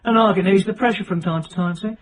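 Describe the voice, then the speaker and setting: A man's voice: high voice, fast, a little hiss or hum, lightly acted, low-quality recording. An actor playing a cocky, hard-edged, self-made middle-aged British man in a radio drama, talking in brash, sardonic, colloquial clipped phrases. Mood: sly, scheming.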